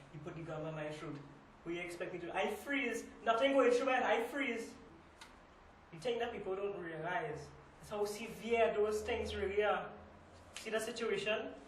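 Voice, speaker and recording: High-voiced, shaken Trinidadian cashier, acted by a man. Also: natural voice. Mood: distressed, emphatic. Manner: colloquial, repetitive, emphatic. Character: shaken young restaurant cashier recounting a trauma. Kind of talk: dramatic storytelling monologue, amateur stage acting. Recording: echoey room, distant mic, faint noise.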